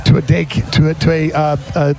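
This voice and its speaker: A man speaking as a podcast host, casual and reflective, halting and repeating words as he builds a point. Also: fairly high pitch, flat delivery, a little hiss or hum. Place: live podcast at a restaurant.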